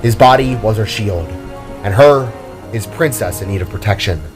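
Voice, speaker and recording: A man's voice: lowish voice, moderate pace. A man reading scripted, dramatic story narration. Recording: music and rain under the voice. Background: music, rain and thunder.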